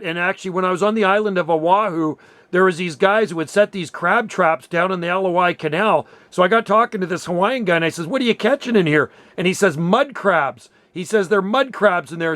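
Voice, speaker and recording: A man with a high-pitched voice chats over amateur radio, sounding close and dry. He is enthusiastic and talkative, telling things in an easygoing, exclamatory way. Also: a little fast, fairly bright tone, no room sound. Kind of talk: casual radio chat.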